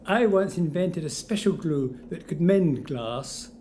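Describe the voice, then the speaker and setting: A man's voice: on the high side, expressive, a little slow, mellow. A man playing a flippant, rueful ghost of a failed inventor, joking dryly in an audio drama. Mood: playful. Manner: dry, joking, resigned. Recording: faint noise behind.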